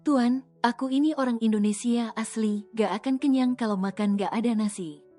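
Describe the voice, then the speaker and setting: A woman's voice: a little high, moderate pace, natural voice. A woman voicing a spirited young wife who talks in a chatty, teasing, colloquial way. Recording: soft music underneath.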